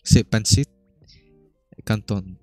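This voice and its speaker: A young man with a fairly low voice chats on a podcast, joking and hesitant, full of fillers. The sound is dry and close, with faint music behind. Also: slow pace, mellow, dry recording. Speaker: podcast co-host. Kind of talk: casual chat.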